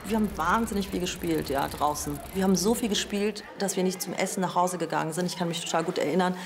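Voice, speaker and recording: A woman's voice: lowish voice, quick pace, noisy background. A woman from Berlin, a chocolate shop owner, reminiscing in a conversational documentary interview. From Berlin, Germany. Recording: music underneath. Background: music.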